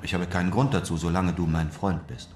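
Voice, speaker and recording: A male actor as a shrewd, unflappable adventurer in a radio drama. His low voice is calm, deliberate and insistent, heard in an echoey room with some noise. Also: close to an everyday voice. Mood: calm, sly. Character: cool, shrewd European adventurer driving a hard bargain. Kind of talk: radio play dialogue.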